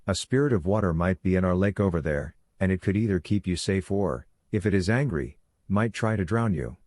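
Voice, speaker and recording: A man voicing a calm, authoritative werewolf pack leader who lectures in a measured, instructive way for a fantasy audiobook. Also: low-pitched, no room sound, studio quality, voice pushed down. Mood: patient authoritative.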